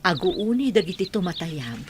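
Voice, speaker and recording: A woman's voice: on the low side, big pitch swings, normal speed, heavy background noise. A woman playing a tender, grateful widowed bride in an Ilocano radio drama, speaking in an earnest, sentimental way. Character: widowed bride Aurelia, tender, grateful, newly happy after grief.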